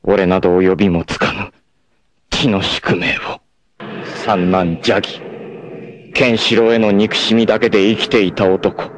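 An actor playing a proud, doomed martial-arts warrior in an anime, tender and self-sacrificing, with solemn, theatrical delivery. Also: male voice, lowish voice, steady tone, measured pace, close to an everyday voice, rough recording. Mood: grave, intense. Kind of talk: dramatic anime acting, monologue and narration.

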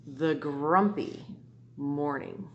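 A middle-aged woman reading aloud to children in an expressive storytelling manner at home in a living room. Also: sits low, dull audio, a little hiss or hum. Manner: slow, expressive, animated.

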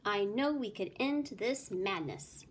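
An excitable elderly professor, acted out by a woman reading a storybook, earnest and frantic about his work. The pitch sits raised above plain talk, and steady noise runs clearly behind it. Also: takes it slowly. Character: elderly male scientist uncle, excitable, frantic, brilliant.

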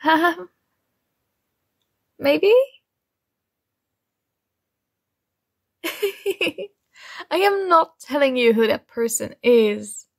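A woman's voice: high voice, lively intonation, extremely slow, creaky, exaggerated voice. A woman playing a shy, nerdy young student flustered by her crush in an ASMR roleplay, speaking hesitantly and awkwardly. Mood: flustered, nervous.

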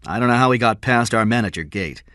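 A man voicing a tough, blunt, protective police detective in an audiobook story, forceful and scornful in manner. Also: on the low side, fast, no echo, studio quality, pitched above the performer's own voice.

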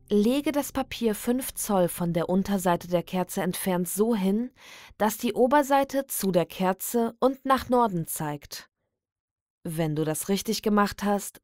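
A woman reading a scripted creepy ritual guide as a horror narrator, in a measured, deliberate, instructional manner. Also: mid-range voice, a little mellow, no echo. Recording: dry studio voice over background music. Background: background music.

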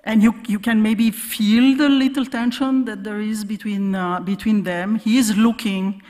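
A woman giving an academic keynote lecture from a podium, explaining in a halting way with repeated words and hesitations. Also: mid-range voice, steady tone, darkish tone. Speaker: keynote speaker, academic researcher. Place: large conference hall stage, at podium. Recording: reverberant lecture hall sound.

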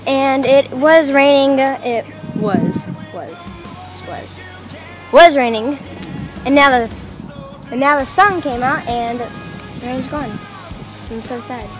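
A woman's voice: sits high, slow, pauses often, over music. Chatty teen girl vlogging outdoors. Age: teens. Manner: bubbly, chatty, gushing. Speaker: fashion vlogger. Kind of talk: casual outfit vlog. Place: outdoors in a suburban front yard. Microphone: phone camera set on a mailbox.

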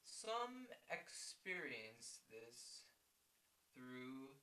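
Young man as a grandiose orator, very slow. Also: mid-range voice, sing-song, sharp tone, full character voice. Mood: grandiose, solemn. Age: 20s. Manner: declamatory, pompous, dramatic pauses. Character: grandiose theatrical orator solemnly presenting ASMR. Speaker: ASMR performer. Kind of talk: theatrical ASMR role play. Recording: echoey small room, distant mic.

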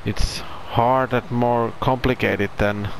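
Slow, halting Finnish-accented man. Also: medium pitch, steady tone, vocal fry, a little hiss or hum, poor audio. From Finland. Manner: halting, searching for words. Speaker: gaming channel host. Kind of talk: casual gameplay commentary.

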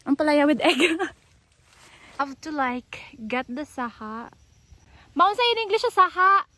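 High-voiced young Filipino woman vlogging outdoors. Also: expressive, slow pace, bright, forceful tone, dry recording. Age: young adult. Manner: code-switches between English and Filipino languages. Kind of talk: casual, spontaneous vlog chat. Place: outdoors on a rural farm. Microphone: phone at arm's length.

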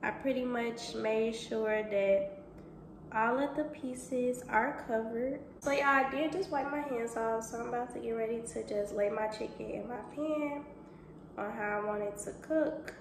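A woman's voice: on the high side, a little slow. A young woman talking viewers through a home cook-along in casual, chatty speech, calling them y'all and boo. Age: young adult. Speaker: cooking vlogger. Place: home kitchen, indoors. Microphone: camera on counter, at a distance. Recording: echoey kitchen with noticeable background noise.

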